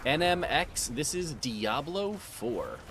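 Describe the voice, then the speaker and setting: A man's voice: on the high side, very animated, takes it slowly, a bit bright. A man chatting casually with viewers as he plays a game live, greeting them by name and calling them sir. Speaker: livestream gamer. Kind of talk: casual live-stream chat while gaming. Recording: clear background noise.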